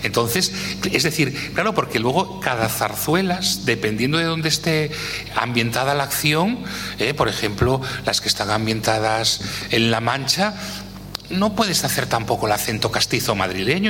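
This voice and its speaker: A man speaking as an expert guest in an interview, explaining at length in an animated way and often tagging phrases with a questioning "eh". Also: mid-range voice. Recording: echoey hall with faint noise.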